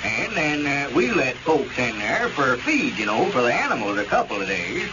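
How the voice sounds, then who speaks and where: An actor playing a glib, scheming country squire with a rural Southern accent in old radio comedy. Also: male voice, mid-range voice, sing-song, moderate pace, lightly acted. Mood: persuasive. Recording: muffled, noisy old radio recording.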